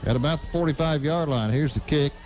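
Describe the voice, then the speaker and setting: A man's voice: on the high side, fairly quick, darkish tone, rough recording. A man doing live radio play-by-play commentary of a football game, broadcast from a stadium. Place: outdoor high school football stadium broadcast.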